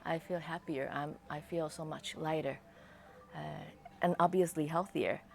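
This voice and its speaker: A woman giving a personal testimonial in a halting, drawn-out way. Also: deep voice, fairly even intonation, vocal fry, faint music behind.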